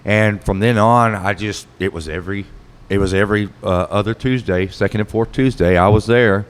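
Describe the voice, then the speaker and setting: A middle-aged man with a loud, carrying voice, answering interview questions casually as a city council candidate at an outdoor bar stage. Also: on the low side, normal speed, smooth, in a large hall.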